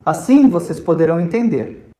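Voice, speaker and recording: A man's voice: fairly high pitch, animated, fairly quick, warm tone. A man from India speaking as a volunteer host, giving an informal, conversational talk to visitors and often checking in with his listeners.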